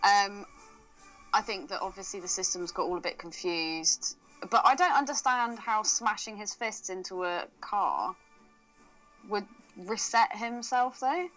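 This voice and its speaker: A woman's voice: medium pitch, a little slow, a bit bright, poor audio. A woman co-hosting a podcast in casual chat, informal and slangy, with some swearing. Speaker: podcast co-host. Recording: music playing in the background. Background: film soundtrack music.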